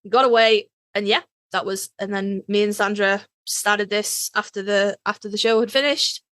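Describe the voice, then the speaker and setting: A woman's voice: mid-range voice, fairly even intonation, moderate pace, fairly bright tone, no echo. A British woman co-hosting a podcast in casual chat, informal and sweary. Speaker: podcast co-host.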